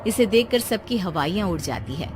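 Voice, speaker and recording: A woman's voice: mid-range voice, lively intonation, moderate pace, slight background noise. A woman narrates a cartoon horror tale from a script. Her voice sits in a middle register as she tells it as a storyteller.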